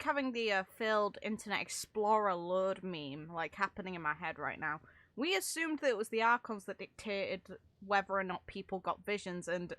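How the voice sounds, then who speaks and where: Bright-voiced woman streamer, thinking aloud. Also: medium pitch, no echo. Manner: rambling, thinking aloud, mildly sweary. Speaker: game streamer. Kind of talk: casual live reaction commentary.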